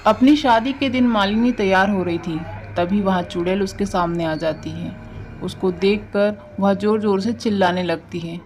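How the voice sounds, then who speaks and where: A woman's voice: mid-range voice, normal speed, a little mellow, a little hiss or hum, poor audio. A woman reading scripted story narration as the narrator of a tale.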